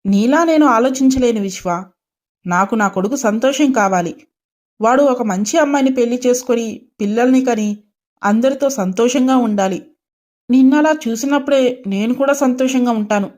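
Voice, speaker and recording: A woman's voice: a little high, normal speed, darkish tone, natural voice. A woman voicing a loving, worried and insistent mother of a grown son in a dramatised story, pleading earnestly.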